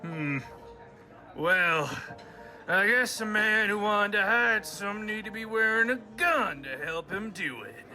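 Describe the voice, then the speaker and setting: Western radio bully, male actor: piercing, high, drawn-out taunts, folksy and belligerent. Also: animated, sharp tone, exaggerated voice. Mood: needling. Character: belligerent, goading gunslinging bully picking a fight. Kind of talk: amateur western radio play acting. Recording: student radio play with background music.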